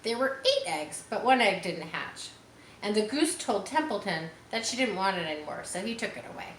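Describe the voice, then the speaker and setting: A woman's voice: mid-range voice, sing-song, moderate pace, reverberant, a little hiss or hum, lightly acted, rough recording. A woman reading the part of an earnest, chatty young farm girl in a storybook, eager and matter-of-fact.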